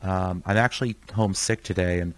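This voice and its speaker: A man's voice: low voice, steady tone, a little fast. A young man who is sick, rambling casually in live gameplay commentary and talking to himself as he plays. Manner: rambling, chatty, talks to himself while playing. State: sick, voice somewhat off. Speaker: gaming channel host.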